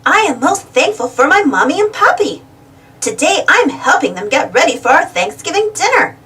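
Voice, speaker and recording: A woman reads a picture book aloud for small children in a high voice. Her delivery swings widely in pitch and speaks straight to the young listener. She sounds slightly away from the microphone. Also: moderate pace, recorded from a distance. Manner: expressive, asking questions directly to young listeners. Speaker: storybook reader. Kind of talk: animated read-aloud storytelling for young children.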